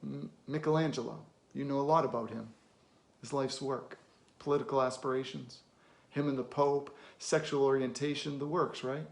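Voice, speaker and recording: Man acting a wounded, blunt therapist, creaky voice. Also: mid-range voice, steady tone, normal speed, lightly acted. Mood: challenging. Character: weary, wounded, blunt middle-aged male therapist. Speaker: actor. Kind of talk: dramatic film monologue performance.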